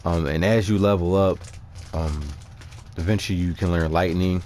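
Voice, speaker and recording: A man giving casual, unscripted gaming commentary in a halting, informal way as he walks viewers through his character setup. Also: low voice, fairly even intonation, darkish tone, a little hiss or hum. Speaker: gaming video creator presenting character builds.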